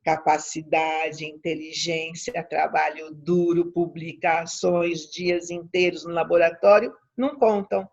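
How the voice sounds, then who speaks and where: A Brazilian woman with a husky, gravelly voice gives an informal science lecture. She is emphatic and theatrical, with an ironic edge. The recording is dull and lo-fi. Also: low-pitched, steady tone, unhurried, few pauses. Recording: dull, lo-fi audio.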